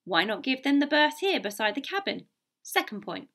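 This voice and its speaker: A woman voicing a gruff, blunt, stern male ship's captain in an audiobook, with curt, formal seaman's speech. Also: high voice, big pitch swings, normal speed, a bit bright. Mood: firm, insistent. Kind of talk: audiobook character voice.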